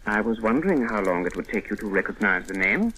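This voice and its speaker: An actor playing a stiff, formal British colonial police commissioner in an old radio drama, with a clipped, pompous manner. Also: male voice, lowish voice, very animated, fast talker, bright, forceful tone. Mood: dry, reproving. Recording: slightly noisy old radio recording.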